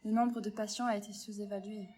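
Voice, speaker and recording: A woman playing a grave nurse in a French audio drama, speaking in a measured, sombre way. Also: medium pitch, flat delivery, noisy background, lightly acted. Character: grave nurse reporting on weakened camp survivors.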